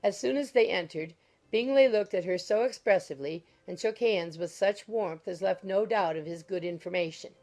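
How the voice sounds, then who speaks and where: A woman's voice: mid-range voice, normal speed, darkish tone. A woman narrating a classic novel as a scripted audiobook.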